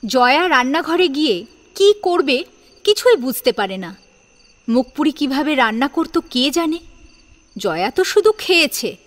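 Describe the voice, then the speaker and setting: Bright, high-voiced woman storyteller. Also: big pitch swings, unhurried, long pauses. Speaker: story narrator. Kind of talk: dramatised story narration.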